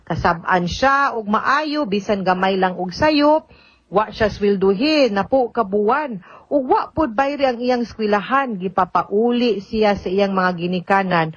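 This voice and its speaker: Female radio advice host, Cebuano Bisaya accent, mid pitch, emphatic conversational delivery, dry lo-fi sound. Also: unhurried, no echo. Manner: conversational, emphatic, with interjections and English code-switching.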